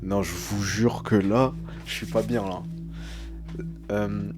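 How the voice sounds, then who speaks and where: A man's voice: on the low side, fairly even intonation, slow pace, music in the background. A young man speaking as a streamer in casual live reaction, translating text aloud with slangy, hesitant, uneasy commentary.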